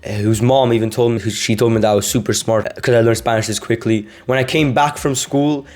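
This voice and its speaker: A man's voice: medium pitch, fairly even intonation, brisk, a little mellow. A young man from Sweden, a language-learning vlogger, talking casually to camera, rambling with many fillers and restarts.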